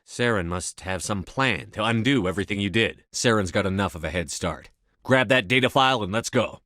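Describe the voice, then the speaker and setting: A resolute starship commander in a video game. His voice sits low and is recorded very dry. He speaks tersely, with firm authority, like someone used to giving orders. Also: a bit bright, dry recording. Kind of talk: video game voice acting, scripted dialogue lines.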